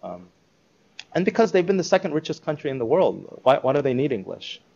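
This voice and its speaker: A man giving an informal talk to a live audience, conversational and animated, acting out quoted reactions. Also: on the high side, a little fast, creaky, husky, muffled recording. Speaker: speaker presenting a school project.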